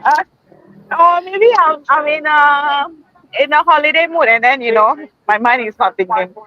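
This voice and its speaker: High-pitched Singaporean woman hosting a live stream. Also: normal speed, no echo, muffled recording. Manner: chatty and laughing, with many fillers and restarts. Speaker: host of a group live stream. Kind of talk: casual live-stream chat. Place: out in a busy shopping mall.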